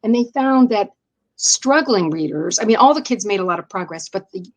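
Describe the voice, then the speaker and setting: A woman's voice: mid-range voice, animated, a little fast. American woman explaining in an interview. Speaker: interview guest, education writer.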